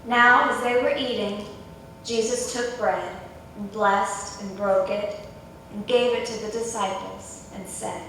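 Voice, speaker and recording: A woman's voice: steady tone, slow pace, fairly bright tone. A woman narrating scripture as part of a dramatic reading in a church. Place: church during a service. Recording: echoey church hall, distant mic, rumbly noise.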